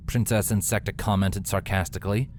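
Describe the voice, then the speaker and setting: A man's voice: low-pitched, steady tone, fairly quick, a little hiss or hum. A man reading a scripted fantasy story aloud as a dramatic narrator. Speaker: fan fiction narrator.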